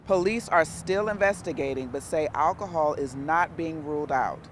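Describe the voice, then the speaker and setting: A woman's voice: sits low, normal speed, slight background noise. Woman reporting local TV news. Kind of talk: broadcast news reporting, scripted narration.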